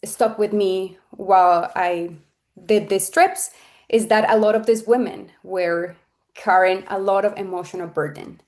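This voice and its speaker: A woman in her thirties from Colombia talks to a webinar audience over a video call. She speaks in a loose, earnest way, with fillers and restarts. Her pitch is middling for a woman. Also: a little mellow. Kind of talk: presenting on a webinar, casual and personal.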